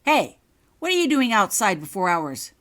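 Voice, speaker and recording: A woman's voice: medium pitch, very animated, moderate pace, a bit bright, voice pushed up. A woman voicing an angry, arrogant young male school prefect in a storybook reading, barking stern, suspicious commands. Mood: angry, commanding.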